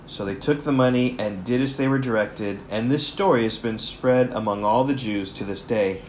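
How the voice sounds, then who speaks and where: A man's voice: mid-range voice, normal speed, a little mellow, slight background noise, low-quality recording. A man, a pastor hosting a Bible reading, talking with enthusiasm and turning to his listeners with asides. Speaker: pastor and host. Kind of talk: casual chat, then Bible reading.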